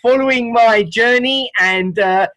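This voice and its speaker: Bright, high-voiced male host. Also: unhurried, low-quality recording. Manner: rambling, heavy on filler words. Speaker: interview host. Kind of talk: casual interview over video call.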